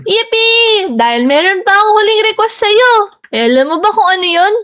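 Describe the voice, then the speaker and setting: A player voicing a cheerful, childlike, food-loving girl with a squeaky voice and Filipino accent in cartoonish Minecraft roleplay. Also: very animated, normal speed, bright, forceful tone, heavily acted. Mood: gleeful.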